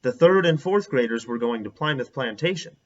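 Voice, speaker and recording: A man's voice: mid-range voice, expressive, brisk. A man reading a children's story aloud as a teacher would, expressively, stressing and drawing out words. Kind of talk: animated children's read-aloud narration. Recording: dull, lo-fi sound.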